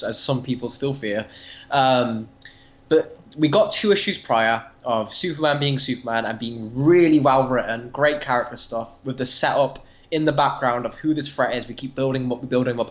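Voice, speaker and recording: A man's voice: medium pitch, a bit bright. A man co-hosting a podcast, talking comics casually and earnestly, explaining his points informally, on a roomy, dull recording. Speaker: podcast co-host. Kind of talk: casual comic book discussion. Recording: roomy, slightly dull audio.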